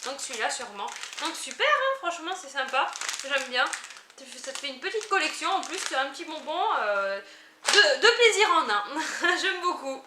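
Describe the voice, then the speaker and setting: A woman chatting through an unboxing vlog, easily delighted and quick to giggle. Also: high voice, big pitch swings, reverberant, noisy background. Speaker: unboxing vlogger. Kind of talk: casual product unboxing chat.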